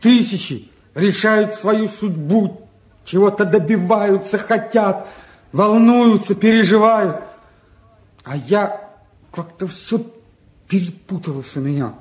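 An actor playing a glib, restless young school-leaver in a radio play, bantering and expressive. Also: male voice, sits high, takes it slowly, pauses often. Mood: wistful, self-mocking. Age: young adult. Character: glib, restless, joking city school-leaver, warm-hearted idler. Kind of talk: stage-trained radio play acting.

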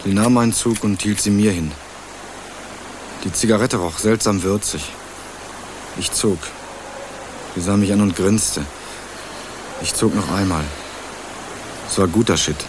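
Actor as a low-voiced laconic young man. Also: measured pace, pauses often, noisy background, natural voice. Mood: matter-of-fact. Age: young adult. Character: young male student from Berlin, laconic and guarded. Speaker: narrator and lead actor. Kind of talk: first-person radio crime drama narration and dialogue.

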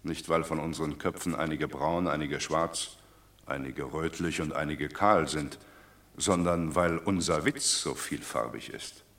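A sententious Roman citizen in classic radio comedy-drama. His voice is very low. He reasons earnestly and pedantically, to droll effect. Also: a little slow, fairly bright tone, heavily acted. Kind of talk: classic German radio play.

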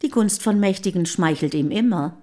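An actress in a German radio play playing the proud, composed wife of a famous poet, formal and measured. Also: female voice, medium pitch, lively intonation, mellow, lightly acted. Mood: cool, dismissive. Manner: measured, formal, curt and dignified.

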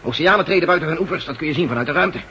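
A man's voice: on the high side, pushed and bright. A man playing an impatient, authoritative general at a radio set in a science-fiction radio drama. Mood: irritated, tense. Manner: authoritative, brisk, giving orders. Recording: muffled, noisy old radio drama recording.

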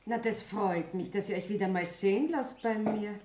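A woman's voice: medium pitch, normal speed, echoey room, background noise, close to an everyday voice. A woman acting a bossy yet evasive Bavarian tavern landlady in a 1960s German radio play. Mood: chatty.

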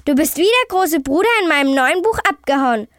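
A woman playing an excitable, chummy girl host of a scripted children's audio show, talking in exclamatory youth slang. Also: high voice, a little fast, no echo. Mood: teasing, pouty. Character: Sally, chirpy excitable cartoon-like girl host. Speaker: host answering young callers' questions.

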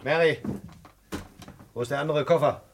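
A man's voice: a little high, moderate pace, vocal fry, long reverb tail, noisy background. A male actor in a German crime radio play, playing an anxious, defensive guard who feels cornered. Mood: agitated, defensive. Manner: agitated, defensive, uneasy.

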